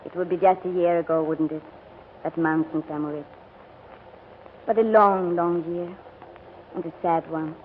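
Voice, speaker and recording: A woman's voice: fairly low pitch, fairly even intonation, normal speed, long pauses, close to an everyday voice. An actress playing an earnest, guarded young woman in a 1940s wartime radio drama. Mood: wistful. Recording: tinny, noisy old radio broadcast.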